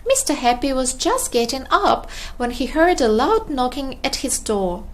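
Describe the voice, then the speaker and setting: A woman reading a children's storybook aloud in an expressive, deliberate storytelling manner. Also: high voice. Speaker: storybook narrator.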